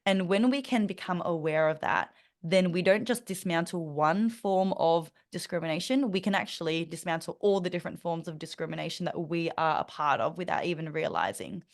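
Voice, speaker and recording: Australian woman, young adult, interview guest; lower register, sincere and reflective, restarts often. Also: animated, a little fast, few pauses. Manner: earnest, thoughtful, many fillers and restarts. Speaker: podcast guest. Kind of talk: conversational interview.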